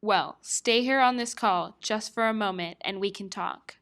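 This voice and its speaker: A woman's voice: medium pitch, measured pace, a bit bright. A woman playing a coaxing, flirty Ukrainian president in an amateur satire.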